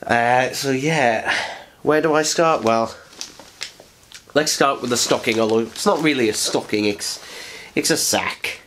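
A man's voice: mid-range voice, a little slow, pauses often, a bit bright. A young man with a head cold, his voice stuffy and nasal as he rambles through a late-night unboxing. He sits close to the camera in a living room, chatty and self-mocking, and a faint hiss sits under the voice. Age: young adult. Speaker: vlogger. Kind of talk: casual unboxing chat. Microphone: camera on a tripod, sitting close.

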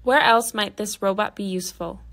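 A woman's voice: medium pitch, brisk, fairly bright tone. A young woman hosting a podcast, reading out scripted interview questions.

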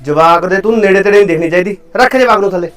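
A man's voice: high-pitched, a bit bright. A man playing a domineering husband who scolds his wife in a family drama. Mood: scolding. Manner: scolding, ordering, fast.

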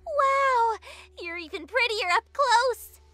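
A woman voicing a bubbly, gushing little fish with a squeaky, childlike voice in an audio drama. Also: expressive, slow pace, pushed and bright, dry recording, soft background music, full character voice. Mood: excited, friendly. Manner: gushing, exclamatory.

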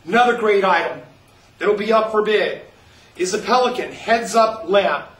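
A man pitching items for an upcoming auction in a folksy sales voice, often calling the listeners folks. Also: normal speed, a bit bright, far from the mic. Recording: roomy, from off the mic.

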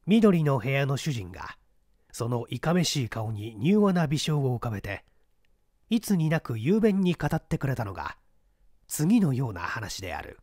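Expressive male audiobook narrator. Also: medium pitch, normal speed, no room sound, studio quality. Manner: expressive, theatrical reading.

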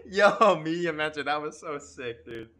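A woman's voice: deep voice, expressive, normal speed, a bit bright. A woman streamer reacting casually to a video game in slangy chat. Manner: slangy, giggly. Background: game music.

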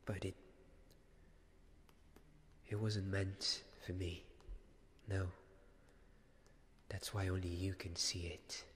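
A young man playing a devoted, weary knight in a soft-spoken ASMR roleplay, halting and often slipping into a whisper. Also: low-pitched, flat delivery, a little hiss or hum. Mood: hushed, wondering. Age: young adult. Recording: long cavernous reverb, faint background ambience.

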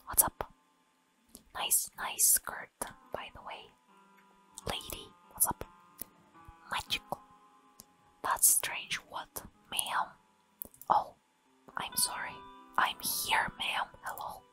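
Woman, ASMR gamer; airy whisper throughout, bright and crisp, playful and giggly, unhurried. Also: soft background music. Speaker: gamer playing a barista game. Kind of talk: whispered ASMR gameplay commentary.

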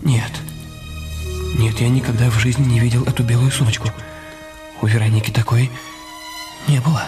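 A male actor plays an anxious husband haunted by guilt in a radio thriller. His voice sits at a middling pitch and moves in agitated, halting bursts, repeating words to himself. Music plays clearly behind him. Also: level tone, lightly acted. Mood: panicked, bewildered. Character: anxious, guilt-ridden husband unravelling in crime drama. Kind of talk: radio play acting.

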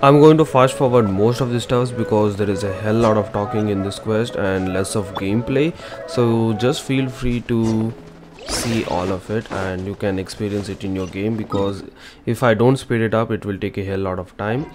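A man's voice: fairly low pitch, normal speed. A man narrating a game walkthrough as an informal, instructional gaming channel host. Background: game music.

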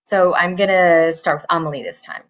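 A middle-aged woman moderating an author panel over a video call, friendly and quick to laugh. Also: on the low side, fairly bright tone, dull audio.

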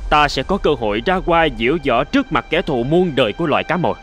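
A man's voice: on the high side, animated, quick pace, fairly bright tone. A man voicing a spiteful, cocky male sardine in a cartoon fable, mocking and gloating theatrically. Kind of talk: animated fable voice acting.

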